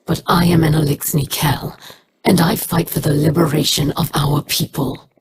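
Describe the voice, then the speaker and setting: An actress playing a proud, defiant alien rebel leader in a video game, cold and commanding. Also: female voice, low voice, fairly even intonation, moderate pace, noisy background.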